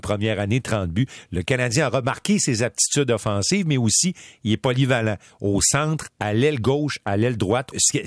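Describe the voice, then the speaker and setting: A man's voice: medium pitch, no echo, clean studio sound. A man with a Quebec French accent reporting on hockey prospects in a casual, storytelling way. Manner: chatty, storytelling, many fillers and restarts. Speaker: sports reporter. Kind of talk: radio sports talk.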